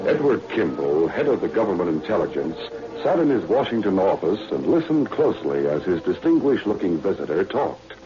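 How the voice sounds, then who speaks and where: A man's voice: low-pitched, sing-song, a little fast. A male actor with an American accent narrating a 1940s radio spy serial in a dramatic, portentous manner, on a muffled old recording. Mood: ominous, dramatic.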